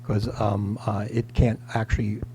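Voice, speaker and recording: A man moderating a stage conversation, chatty and quick with his questions, on a handheld mic. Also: on the low side, level tone, mellow, a little hiss or hum. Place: theater stage before an audience. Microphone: handheld microphone.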